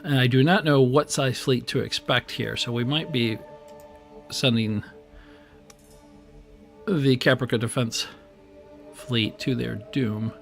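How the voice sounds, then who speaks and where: A man with a weak, strained voice chats loosely while he live-streams a strategy game, rambling and restarting his sentences. He speaks into a boom mic at his home desk. Faint game music runs underneath. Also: fairly even intonation, slow, creaky, pauses often. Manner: chatty and rambling, with many fillers and restarts. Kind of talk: casual live-stream commentary while playing. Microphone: desk microphone on a boom arm.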